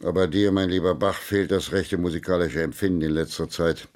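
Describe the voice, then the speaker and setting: A man acts a blunt musician in a radio play, passing on gossip with a dry, pointed delivery. The voice sits fairly low, and he is dismissive and impatient with those around him. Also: steady tone, a little fast, a little mellow, a little hiss or hum, natural voice. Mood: dry, needling. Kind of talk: German radio drama acting.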